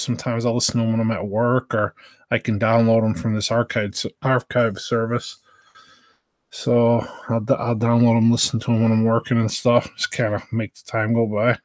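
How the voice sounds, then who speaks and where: A man's voice: medium pitch, darkish tone, dry recording. A man from the United States chatting casually as a live stream host, hesitant and meandering. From United States, Southern Virginia.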